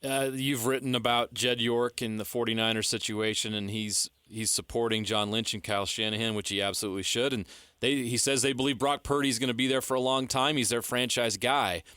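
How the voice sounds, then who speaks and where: A man's voice: medium pitch, fairly even intonation, a little fast, bright, forceful tone, clean tone, no echo. A man co-hosting sports radio in a casual talk style, close on a studio mic.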